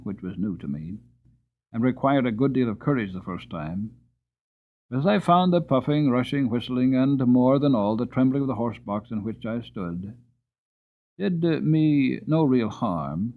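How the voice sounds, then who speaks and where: A man giving a dramatic, deliberate and expressive storybook narration on a muffled, narrow-sounding recording. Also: medium pitch. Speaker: audiobook narrator.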